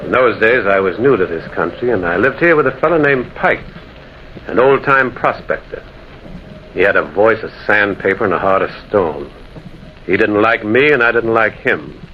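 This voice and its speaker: A man's voice: on the low side, animated, moderate pace, lightly acted. Male actor as brooding, solitary prospector narrating a spooky radio play; theatrical delivery, dull vintage sound with faint noise. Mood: brooding, haunted. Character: lonely, haunted male prospector narrating a ghost tale. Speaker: narrator and lead character. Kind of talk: old-time American radio drama acting. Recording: dull, noisy old radio recording.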